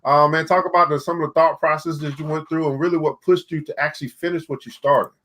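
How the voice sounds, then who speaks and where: Higher-voiced guy hosting, upbeat and loose, keeps saying man, trips over words. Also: fairly even intonation, quick pace, fairly bright tone. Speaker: host. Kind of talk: interview moderating.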